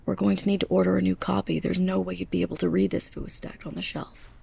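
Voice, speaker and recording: A young woman playing a sarcastic, scolding, snobbish librarian's assistant in a close-up ASMR roleplay, breathy and often near a whisper. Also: lowish voice, little pitch movement, fast talker, mellow, a little hiss or hum. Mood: exasperated, scolding. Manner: sarcastic, exasperated, pointed delivery.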